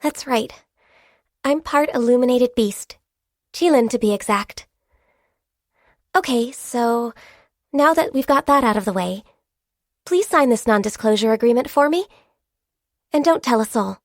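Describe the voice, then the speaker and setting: Game casting: youthful, high-voiced half-Qilin girl, centuries old, shy, polite and diligent, dry studio sound. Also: animated, unhurried, long pauses, no echo. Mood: shy, confiding. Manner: soft-spoken, polite, earnest, formal wording. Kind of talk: video game character voice lines.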